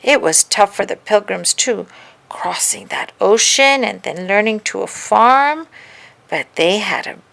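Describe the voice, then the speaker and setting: A woman's voice: medium pitch, expressive, measured pace, vocal fry, a bit bright. A woman voicing a hopeful, eager and stubborn young girl in a children's story. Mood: eager pleading.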